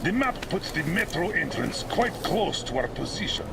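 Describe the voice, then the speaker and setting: A man playing a gruff, terse middle-aged military colonel giving urgent orders in a video game. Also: on the high side, very animated, moderate pace, recorded from a distance. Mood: tense, urgent. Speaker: military commander. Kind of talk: video game cutscene acting. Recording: loud vehicle and storm noise behind.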